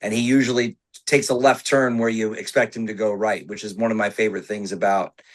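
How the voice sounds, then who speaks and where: A man, an actor, giving a thoughtful, measured interview answer. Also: on the low side, level tone.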